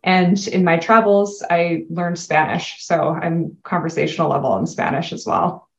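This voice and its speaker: Low-voiced Canadian woman, chatty and upbeat. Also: fairly even intonation, moderate pace, roomy sound, lo-fi sound. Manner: upbeat, laughs often, chatty. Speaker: guest. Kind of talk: casual interview chat.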